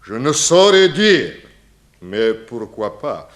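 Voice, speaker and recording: A man's voice: mid-range voice, very animated, fairly bright tone. An actor playing an eccentric, philosophical Russian émigré with a Russian accent, grandiloquent and cryptic, dropping his articles in a 1960s French radio crime drama. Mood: conspiratorial, dramatic. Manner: slow, grandiloquent, broken grammar with dropped articles.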